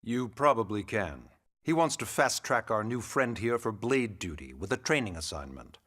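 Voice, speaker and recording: A man playing a wry, formal, gently pushy government secretary in a video game cutscene, courteous and smug. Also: fairly low pitch, lively intonation, normal speed. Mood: wry, persuasive. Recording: loud music behind the voice.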